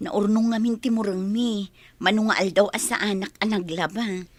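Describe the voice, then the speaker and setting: A woman's voice: mid-range voice, fairly even intonation, normal speed, close to an everyday voice. An actress with a Filipino accent playing a hardworking, nagging young girlfriend in a radio drama, speaking earnestly. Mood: chatty.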